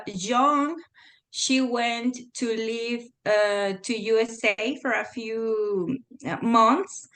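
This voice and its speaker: Mexican woman, spanish accent, kinda high voice, slow and hesitant, lots of ums and laughs. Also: fairly bright tone. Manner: hesitant, many fillers, laughs often. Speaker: interview guest. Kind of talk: casual conversation.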